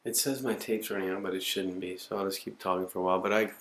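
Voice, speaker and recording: Man in his forties, rambling and profane, low voice, camcorder sound. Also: steady tone, fast, fairly bright tone. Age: 40s. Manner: rambling, profane, self-mocking. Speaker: video diarist. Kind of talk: casual self-recorded monologue. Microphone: home camcorder.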